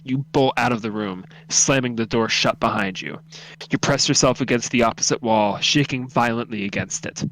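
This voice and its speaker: A man's voice: medium pitch, fairly bright tone, low-quality recording. A man reading a horror story aloud as narrator, dramatic, intense and suspenseful.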